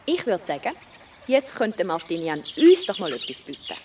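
A woman's voice: fairly low pitch, sing-song, normal speed, far from the mic, noisy background. A woman playing a bold, scheming young medieval peasant girl with a Swiss German accent, confident and bossy, in a children's radio play. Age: child figure.

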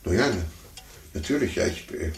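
A puffed-up, condescending man in a 1950s radio play. His fairly low voice leaps about in pitch as he blusters and stammers. The old recording is roomy, with faint noise. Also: animated, moderate pace. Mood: flustered, blustering. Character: pompous adult man, a blustering, sore-losing chess player.

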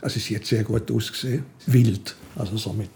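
An older man with a Swiss accent and a creaky voice, telling anecdotes in short phrases in casual conversation. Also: fairly low pitch, steady tone, brisk, darkish tone. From Switzerland. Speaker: interview guest. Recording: clear ambient background noise.

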